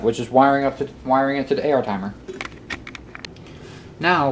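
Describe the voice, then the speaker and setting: Casting: male how-to presenter, medium pitch, casual and methodical, repeats instructions, recorded with noticeable background noise. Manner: step-by-step, repeats himself. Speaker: tutorial presenter. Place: indoor workbench. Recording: clearly noisy amateur room recording. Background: clear background noise.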